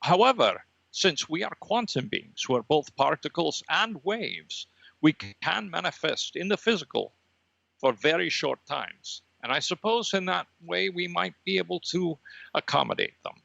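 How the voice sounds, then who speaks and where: A man voicing an ominous, patient being of energy, speaking in a measured, solemn and portentous way on a comedy podcast. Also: on the high side, very animated, creaky, bright, forceful tone, no echo, dull audio, exaggerated voice. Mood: calm, cryptic. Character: ominous, patient red-dot energy being, cryptic prophet.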